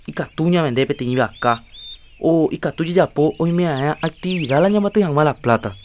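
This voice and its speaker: A man's voice: a little high, a little fast, slight background noise, close to an everyday voice. Man as earnest Paraguayan health promoter, persuasive and lecturing, radio drama, faint birds outside. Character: earnest adult man urging health checkups. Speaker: Rafael, a community health promoter. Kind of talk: educational radio drama acting.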